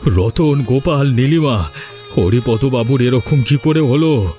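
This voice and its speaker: A man playing a proud, domineering village landlord in a cartoon drama, speaking with deliberate authority. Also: medium pitch, animated, warm tone, faint music behind. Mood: remorseful. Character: stern, domineering village landlord, male, proud but remorseful. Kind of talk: Bengali cartoon drama dialogue.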